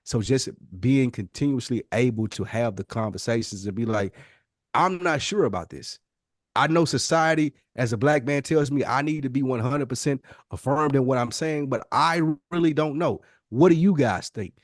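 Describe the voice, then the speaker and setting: A man's voice: medium pitch, normal speed, no echo, clean studio sound. A man co-hosting a podcast, talking in an earnest, conversational and reflective way. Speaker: podcast co-host. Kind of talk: casual, reflective discussion.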